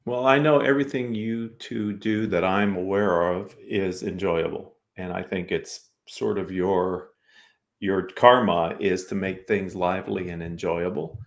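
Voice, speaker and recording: A man's voice: low-pitched, unhurried, vocal fry. A man with an American accent hosting a conversational business interview, speaking in an affirming, complimentary way to his guests. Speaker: talk show host.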